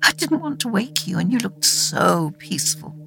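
A woman's voice: on the low side, big pitch swings, moderate pace, creaky. A woman reading a dramatic audiobook narration, telling a story in character.

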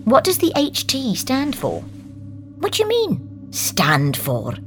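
A woman giving an expressive audiobook performance, moving between acted dialogue and narration. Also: high-pitched, slow, heavily acted. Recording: music behind the voice.

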